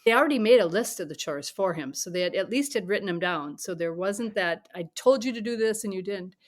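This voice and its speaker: A woman's voice: mid-range voice, lively intonation, a little fast. Earnest middle-aged woman parent coach. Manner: earnest, drops to a soft whisper to demonstrate. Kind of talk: podcast interview guest.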